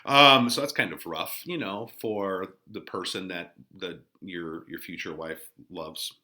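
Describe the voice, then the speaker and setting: A man teaching, giving informal, animated, conversational commentary on a play. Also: low-pitched, unhurried. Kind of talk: explanatory lesson commentary on a play.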